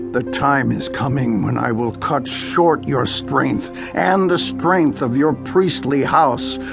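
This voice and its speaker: A stern prophet of judgment, declaims gravely and very slowly. His gravelly voice sits a little high, over music, in a dramatized scripture audiobook. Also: exaggerated voice.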